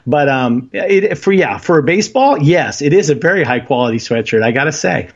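Animated, halting man on a lo-fi line. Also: a little high, husky. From Southern California. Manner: animated, halting with many fillers. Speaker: guest fan. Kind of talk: casual podcast chat. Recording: dull lo-fi line.

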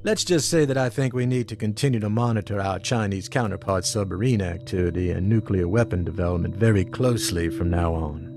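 Senior intelligence chief for audio drama: lower register, authoritative, formal, guarded, over a music bed. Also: male voice, brisk, hardly any pauses, darkish tone. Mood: composed, guarded. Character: composed, guarded male senior intelligence boss.

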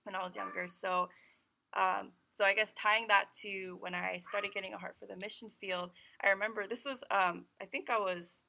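A woman's voice: mid-range voice, steady tone, normal speed, creaky, sharp tone. A young adult woman from California, giving her personal testimony as a podcast guest in a chatty way, with lots of "like". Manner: chatty, sprinkled with "like". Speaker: guest missionary.

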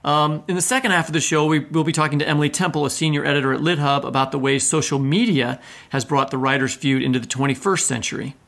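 Bright, higher-voiced man co-hosting. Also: quick pace, gravelly. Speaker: podcast co-host. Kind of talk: casual literary podcast conversation.

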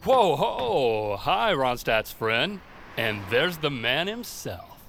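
A man's voice: fairly high pitch, very animated, takes it slowly, gravelly, noisy background, full character voice. An actor playing a boastful, exuberant neighborhood handyman in an audio drama. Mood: jovial, proud. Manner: exuberant, showy.

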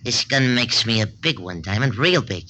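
An actor playing a cocky, menacing little hoodlum, slangy and terse, in 1940s radio drama style. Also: male voice, medium pitch, animated, moderate pace, bright, forceful tone. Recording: old 1940s radio recording.

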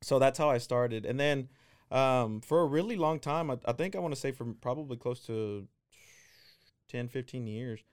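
Man, 30s, interview guest, smooth resonant voice, halting and hesitant delivery. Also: mid-range voice, a little mellow. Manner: halting, many restarts and fillers. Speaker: guest singer and DJ. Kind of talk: casual interview chat.

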